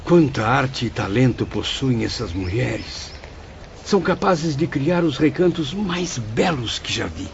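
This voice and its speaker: A man's voice: medium pitch, sing-song, a little slow, gravelly, slight background noise. A man playing a courteous, earnest and admiring pupil in an audiobook drama. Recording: dull lo-fi audio.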